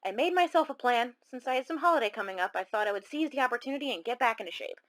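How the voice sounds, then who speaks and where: A woman's voice: on the high side, lively intonation, rapid-fire, a bit bright. A woman playing a self-conscious, rueful caller who tells her eerie story in a confiding, slightly rambling way. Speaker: caller on a horror radio show. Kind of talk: conversational dramatic monologue.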